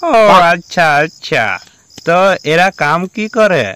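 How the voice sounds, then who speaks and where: A man voicing a nosy, excitable prospective father-in-law in a comic cartoon, questioning and exclaiming. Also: a little high, slow. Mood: inquisitive.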